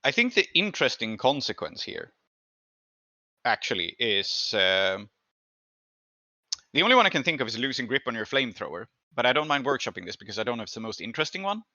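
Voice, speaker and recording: Bright-voiced man as thoughtful game master. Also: mid-range voice, very animated, brisk, no echo, lo-fi sound. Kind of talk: narrating and adjudicating a tabletop game.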